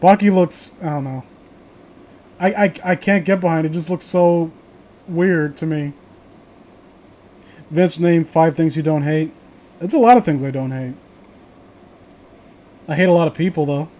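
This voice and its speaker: High voiced guy rambling casually w friends, opinionated, swears a lot. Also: a little slow, long pauses, a little mellow. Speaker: host of a reading show.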